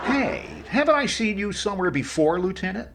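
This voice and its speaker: A man's voice: high-pitched, sing-song, a little fast, creaky, very noisy, exaggerated voice. A man playing a blithe, wisecracking husband in an old-time radio comedy. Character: blithe, quippy comic husband telling his story.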